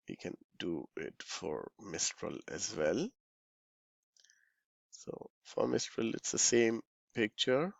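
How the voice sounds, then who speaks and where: A man with a lowish voice full of heavy fry walks through software in an informal, instructional way. His delivery is very slow and halting, as he thinks aloud and mutters to himself while he works. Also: no echo.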